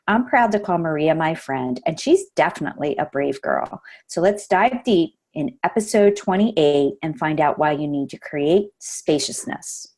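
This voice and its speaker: Podcast host lady, lowish voice, friendly, easy questions. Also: animated, moderate pace, few pauses, darkish tone. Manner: friendly, reads her intro then asks easy questions. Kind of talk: podcast intro and interview.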